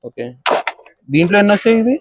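A man's voice: high-pitched, lively intonation, fast talker, a little hiss or hum. A man with a Hyderabad Telugu accent, a vlogger who asks a shopkeeper about prices and repeats each answer back. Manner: asks short questions, repeats answers back, says "okay" often. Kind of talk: shop tour questions.

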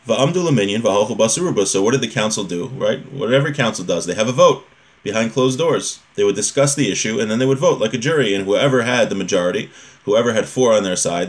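A man in his thirties or forties teaching informally, reading out Hebrew text and explaining it in colloquial English, repeating key points. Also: fairly low pitch. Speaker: religious teacher. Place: small room at home, indoors.

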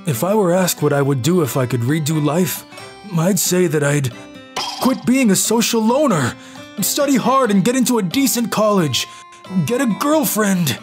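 Woman voicing an anxious, awkward low-voiced boy. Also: moderate pace, faint music behind, natural voice. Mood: excited, determined. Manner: expressive, self-deprecating monologue with outbursts. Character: anxious, self-pitying socially awkward male reliving his boyhood. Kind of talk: animated manga story narration.

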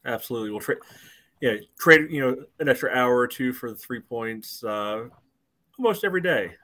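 Male podcast host, middle-aged, mid-pitched voice, loose rambling sports chat over a video call. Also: animated, moderate pace, pushed and bright. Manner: rambling, frequent "you know". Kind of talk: casual sports discussion. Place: indoor room at home.

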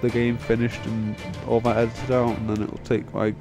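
A man's voice: medium pitch, little pitch movement, normal speed. A young man rambling casually over a video game in a self-deprecating, sweary way, his voice tired from long talking. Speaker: video game let's player. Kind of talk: casual rambling gameplay commentary. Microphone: microphone, voice-over on game video. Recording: music playing behind the voice. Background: game end-credits music.

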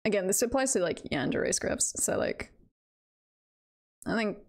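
A woman talks casually on a livestream in a mid-pitched voice with heavy creak. She takes her time, hesitating as she chats to her viewers and weighs up rankings. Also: animated, unhurried. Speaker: streamer. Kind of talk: casual livestream chat, ranking tier lists.

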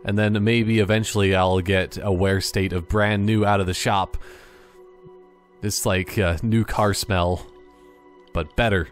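Low-voiced man rambling through game commentary. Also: fairly even intonation, measured pace, soft background music. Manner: rambling, thinking aloud in tangents. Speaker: gaming YouTuber. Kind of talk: casual, jokey gameplay commentary.

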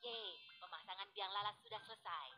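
A woman's voice: mid-range voice, a little fast, pushed and bright, close to an everyday voice. A woman voicing a cheerful, helpful crane truck in a cartoon game, giving upbeat instructions over game music. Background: background game music.

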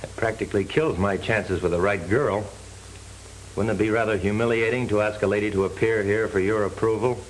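A man's voice: lowish voice, fairly even intonation, brisk, close to an everyday voice. A young man playing a proud, bitter parolee, terse and earnest, in 1930s film style. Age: young adult. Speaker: actor playing a paroled convict. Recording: noisy 1930s film soundtrack.

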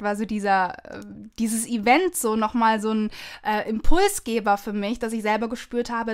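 A woman hosting a podcast in a casual, chatty and gushing manner. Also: fairly high pitch. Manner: effusive, gushing. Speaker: podcast host.

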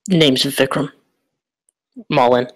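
Determined young-gambler type male actor: flat, even intonation, matter-of-fact, understated table read. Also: medium pitch, slow, close to an everyday voice. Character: nervous but determined male shop clerk gambler. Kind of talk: script table read acting.

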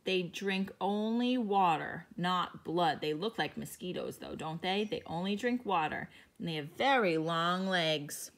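A woman teacher reading a picture book aloud to young children, explaining each page in a gentle way. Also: on the low side, lively intonation, a little slow, hardly any pauses.

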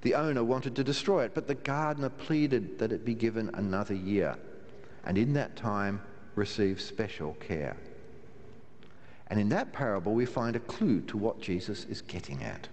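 An older man, a minister, giving a measured sermon from the pulpit of a large church, with a wry touch and slow, emphatic pauses. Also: fairly low pitch, darkish tone. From Australia. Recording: long church reverb, faint room hiss.

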